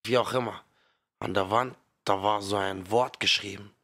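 An actor playing a calm, grieving father of a missing girl in a fan audio drama. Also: on the low side, normal speed.

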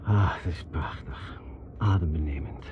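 A man's voice: very deep voice, measured pace. An actor playing a plain-spoken, self-assured young man who is a sympathetic confidant in a radio drama. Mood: frank, confident. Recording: dull, noisy radio drama recording.

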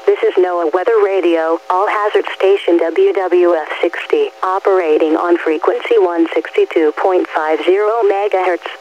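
High male station announcer, formal read, dull radio sound with faint hum. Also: steady tone, normal speed, few pauses. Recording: dull, hummy weather radio reception.

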